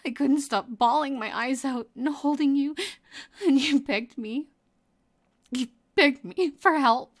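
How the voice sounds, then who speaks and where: A woman playing a guilt-ridden, devoted and dangerous lover in an intimate roleplay audio drama, with a breathy, emotional delivery. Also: high voice, measured pace. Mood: shaken, relieved. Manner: breathy, emotional delivery sliding between sobs and whispers. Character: devoted, guilt-ridden female psychopath lover, tearful and clingy.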